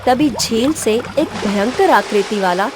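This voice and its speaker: A woman's voice: a little high, lively intonation, slow, noisy background. A woman storyteller giving scripted, dramatic narration of a fairy tale. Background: background music.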